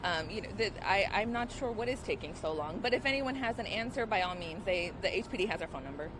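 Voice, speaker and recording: A grieving woman, sister of the victim, gives a halting, emotional statement at a press conference. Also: medium pitch, expressive, noisy background. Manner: halting, emotional, with many restarts.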